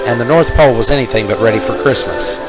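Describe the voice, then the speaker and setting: A man's voice: medium pitch, fast, poor audio. A man narrating a scripted comic story in a mock-serious storytelling manner. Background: music.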